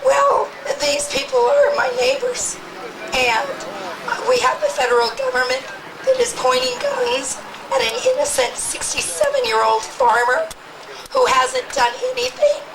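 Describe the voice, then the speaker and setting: A woman's voice: extremely high pitch, very animated, a little slow, vocal fry, far from the mic, noisy background. A woman with laryngitis speaking passionately at a rally as a local resident. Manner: passionate, indignant.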